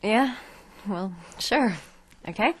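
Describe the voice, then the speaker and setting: Female author, defensive, halting, indifferent air, medium pitch, audio satire. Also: expressive, slightly rough, no echo. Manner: hesitant, offhand. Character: sullen, defensive female author being interviewed. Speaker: actor. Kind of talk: satirical audio drama acting.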